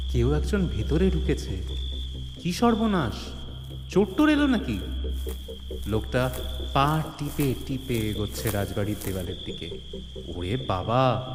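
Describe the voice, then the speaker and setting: A man's voice: a little high, slight background noise. A man narrating a comedy-mystery story in a theatrical, suspenseful way, with touches of mock alarm. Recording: long hall reverb, music bed.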